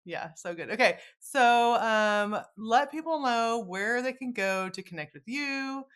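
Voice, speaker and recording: A woman's voice: fairly high pitch, expressive, unhurried, a bit bright, smooth. A woman podcast host chatting casually with a guest, informal and effusive in how she talks. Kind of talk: casual interview chat.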